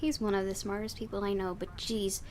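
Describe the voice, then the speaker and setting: A woman reading a visual novel game aloud in an expressive storytelling style, with her own asides. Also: mid-range voice, brisk, a little hiss or hum.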